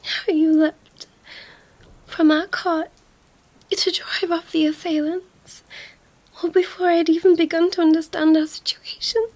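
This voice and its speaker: A very high-pitched young princess, acted by a woman, speaks slowly in a dramatic ASMR roleplay. She is regal but tender, with a halting, emotional delivery full of feeling for her knight. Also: flat delivery, a little mellow, low-quality recording. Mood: shaken, tender.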